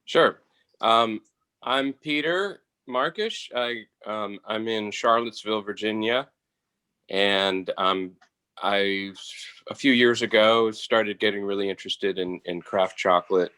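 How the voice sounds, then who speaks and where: A man speaks casually and haltingly, often doubling back on words. His voice is very low yet bright and forceful, recorded dry. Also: clear, resonant tone, no room sound. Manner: halting, repeats words as he goes. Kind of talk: casual self-introduction.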